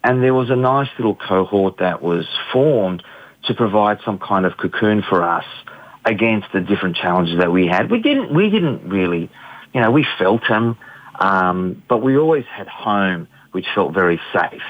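A man's voice: fairly low pitch, expressive, moderate pace, phone-quality sound. A middle-aged man from Australia, an artist giving an interview, speaking reflectively with many ums and restarts. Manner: hesitant, many fillers and restarts.

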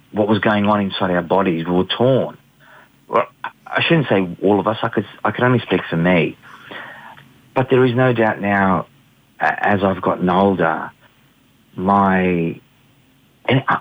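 Gravelly Australian man on a phone-like line. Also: deep voice, dry recording. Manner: earnest, emphatic, repeats phrases. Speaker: interview guest. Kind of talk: conversational interview answer. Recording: narrow phone-like line, dry.

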